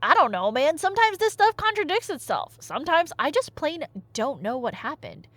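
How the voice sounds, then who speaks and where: A high-voiced woman gives a casual, comic retelling of myth. Her pitch is very lively and she wanders into nerdy, slangy asides. The recording is dry and close. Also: normal speed, dry recording. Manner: nerdy, slangy, animated, self-interrupting asides. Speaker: audio roleplay performer and storyteller.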